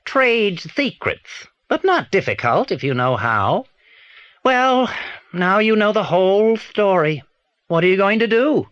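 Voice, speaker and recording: An actor with a British accent playing a reclusive, secretive old film actor in a children's audio drama, deliberate and theatrical. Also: male voice, high-pitched, sing-song, fairly bright tone, no echo, highs cut off, full character voice. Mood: confessional.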